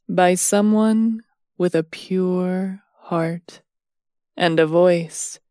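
A woman narrates a bedtime story at an extremely slow pace. Her voice sits a little low and is recorded dry and close, with no room sound. She is soothing and measured, resting between phrases. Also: warm tone, dry recording.

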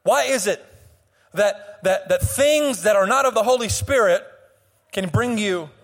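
A fairly high-pitched young man preaching from the pulpit, his voice a touch hoarse. He leans into a sung, drawn-out delivery, repeats himself and restarts often. His voice rings with the long reverb of a church sanctuary. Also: lively intonation. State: slightly hoarse. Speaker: pastor. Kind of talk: sermon.

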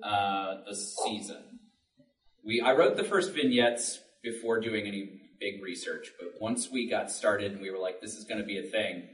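A man's voice: lowish voice, normal speed, a bit bright. A man speaking informally and explaining things on a panel at a public event. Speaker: podcast writer and panelist. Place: public library panel room. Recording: roomy, distant microphone.

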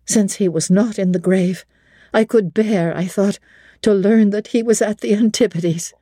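Brief: woman narrator for a classic novel, unhurried and expressive, warm husky tone, dry studio sound. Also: mid-range voice, no echo. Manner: measured, theatrical, emotive. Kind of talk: dramatic literary reading.

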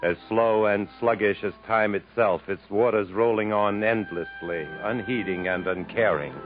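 Radio-drama lead, acted by a man: despairing gentleman gone to seed, deepish theatrical delivery, narrow vintage broadcast sound, faint music. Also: natural voice. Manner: brooding, theatrical, world-weary. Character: bitter, despairing English gentleman drifter in Africa. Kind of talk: 1950s radio drama acting and narration. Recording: narrow-band 1950s radio recording.

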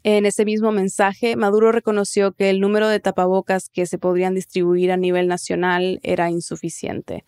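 A woman's voice: mid-range voice, completely flat delivery, normal speed, hardly any pauses, darkish tone, clear, resonant tone, dry recording. A woman hosting a narrative news podcast, reading scripted narration and putting questions on a close mic. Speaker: podcast host.

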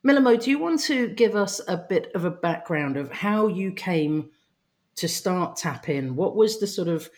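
An interview host who welcomes the guest warmly and asks long, halting, rephrased questions. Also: medium pitch, lively intonation. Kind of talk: casual interview hosting.

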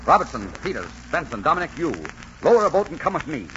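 A noisy, muffled old radio recording. a bold pirate captain, authoritative and gentlemanly, with a very animated, swooping voice. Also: male voice, a little high, fast. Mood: commanding, urgent. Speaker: leading actor. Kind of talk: 1940s radio drama acting.

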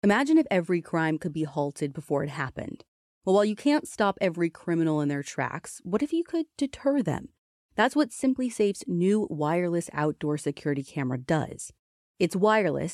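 A woman with a smooth voice reading a scripted podcast advertisement as narration. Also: lowish voice, a little mellow, no echo, clean studio sound. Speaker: podcast ad reader.

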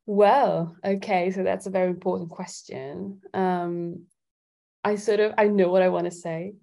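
A woman's voice: on the low side, steady tone, slow, vocal fry, pauses often, mellow. A woman from Italy chatting casually as a guest singer-songwriter in an interview.

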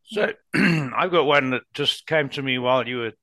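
A middle-aged man with a southern African accent, co-hosting a conversational interview and asking thoughtful, measured questions. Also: mid-range voice, bright, forceful tone, dry recording. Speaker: podcast co-host.

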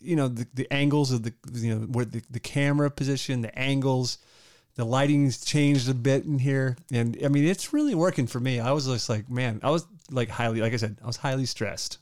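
A man's voice: medium pitch, fairly quick, darkish tone, no room sound, studio quality. Easygoing male podcast co-host, mid-range voice, chatty and casual. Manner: laid-back, chatty, lots of fillers like "man" and "dude". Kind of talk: casual movie discussion.

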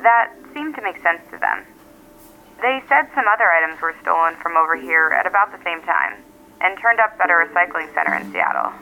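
Through a thin telephone filter comes a self-assured, blunt woman in an audio drama. Her voice is bright and forward, with a dry, direct delivery that sounds natural and conversational. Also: on the low side, very animated, brisk, vocal fry, soft background music, full character voice, rough recording. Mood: matter-of-fact.

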